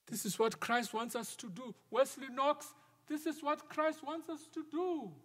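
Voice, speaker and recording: High-voiced man preaching in a reverberant church. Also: fairly even intonation, a bit bright. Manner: measured preaching, drops to a near whisper for emphasis. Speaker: minister. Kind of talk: sermon. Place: large wooden church sanctuary, at the pulpit. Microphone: pulpit microphone.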